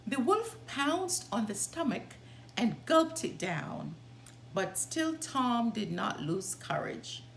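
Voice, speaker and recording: A woman with a fairly high voice narrates a children's storybook. She reads expressively and unhurriedly, as a storytelling host. A clear hum runs behind her. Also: big pitch swings, slow pace, poor audio.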